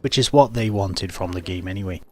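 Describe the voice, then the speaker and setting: Low-voiced man explaining gameplay. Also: fairly quick. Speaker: gaming video host. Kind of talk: casual game commentary, explanatory. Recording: faint background noise.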